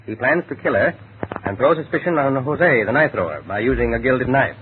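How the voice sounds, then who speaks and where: An actor playing a calm, shrewd, educated doctor turned amateur sleuth in a 1940s radio detective drama, speaking in a measured, deliberate way. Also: male voice, mid-range voice, lightly acted. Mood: reasoning. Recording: noisy, tinny vintage radio recording.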